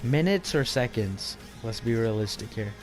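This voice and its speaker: A man's voice: mid-range voice, animated, normal speed, a little mellow, faint music behind. A young man telling stories in a casual, brash, profanity-laced and teasing chat.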